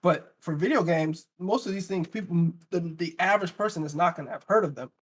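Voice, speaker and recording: A man's voice: high-pitched, fairly quick, slightly rough. A man in a casual panel discussion, arguing his points emphatically and throwing out rhetorical questions. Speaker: podcast panelist.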